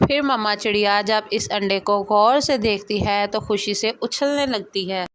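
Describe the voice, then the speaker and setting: A woman's voice: mid-range voice, unhurried, a bit bright, dry recording. A woman narrating a children's cartoon moral story from a script.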